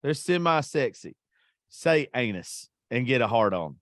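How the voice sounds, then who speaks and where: A male podcast host telling raunchy stories in a loose, profane, joking way. His voice sits at an ordinary middle pitch. It is recorded close and dry, with no room sound. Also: big pitch swings, measured pace, fairly bright tone, no room sound. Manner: profane, joking, laughs at himself. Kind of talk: casual crude storytelling chat.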